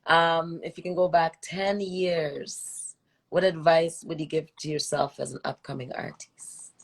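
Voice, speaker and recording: Expressive woman host with tinged speech. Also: sits low, measured pace, low-quality recording. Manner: expressive, slips into patois phrasing. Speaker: interview host. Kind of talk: casual live interview hosting. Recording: livestream video call.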